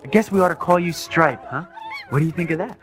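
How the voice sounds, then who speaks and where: A man's voice: fairly high pitch, quick pace, a bit bright, faint music behind, natural voice. An actor playing an earnest, friendly young man who looks after pets, in a storybook audio drama. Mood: curious, playful.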